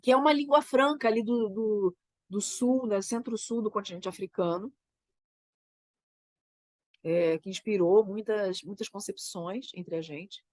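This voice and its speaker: A woman with a Brazilian Portuguese accent, a theater creator getting over a cold, talking about her work in a reflective, halting way and often tagging her sentences with "né?". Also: mid-range voice, darkish tone, no echo. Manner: thoughtful, halting, frequent "né?" tags. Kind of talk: reflective conversation.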